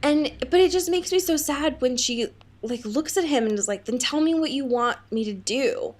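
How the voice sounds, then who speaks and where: A woman's voice: high voice, lively intonation, moderate pace, darkish tone. A woman co-hosting a podcast, chatting casually about a book and acting out quotes with informal asides. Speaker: podcast co-host. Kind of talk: casual book discussion chat.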